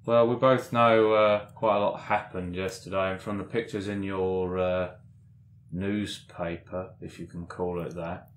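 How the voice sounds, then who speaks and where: A man's voice: low voice, little pitch movement, a little slow, reverberant, slight background noise. A man playing a smug, corrupt businessman, musing and self-amused in a tabletop game. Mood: pressing, threatening.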